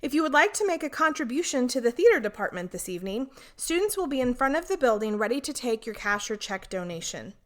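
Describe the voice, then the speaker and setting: A woman's voice: high-pitched, big pitch swings, quick pace, hardly any pauses. A woman presenting a theater program, reading a scripted welcome announcement to listeners.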